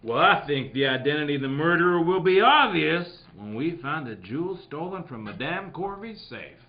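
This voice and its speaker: A man performs a slow-witted but earnest comic detective in a radio parody. The voice is fairly high and very animated, heard in an echoey space with dulled sound. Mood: earnest, pompous. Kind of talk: live radio-play parody. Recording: dull, echoey live stage recording.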